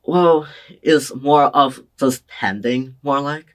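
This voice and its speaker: A young man from China answering oral history interview questions in a slow, hesitant, soft-spoken way. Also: mid-range voice. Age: young adult. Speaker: interviewee, community college student.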